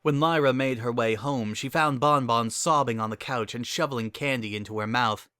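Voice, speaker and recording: Male narrator for a dramatic story reading: bright, forward tone, very dry recording. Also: mid-range voice, normal speed, clear, resonant tone, no room sound.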